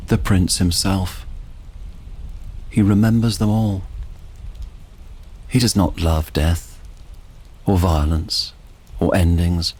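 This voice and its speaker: A male narrator reads fantasy drama in a low, rough-edged voice. His delivery is slow, measured and solemn.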